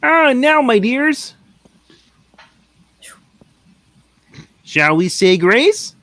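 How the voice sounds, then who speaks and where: A man's voice: very high voice, big pitch swings, slow pace, pushed and bright, slight background noise, heavily acted. A man playing a kindly, affectionate, genial family father in a radio play reading. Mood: tender. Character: Bob Cratchit, kindly, gentle family father.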